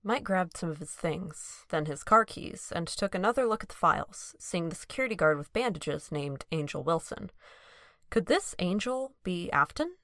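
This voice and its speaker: A woman's voice: on the low side, expressive, normal speed, a bit bright, no room sound, studio quality. A woman reading scripted narration for an audio drama.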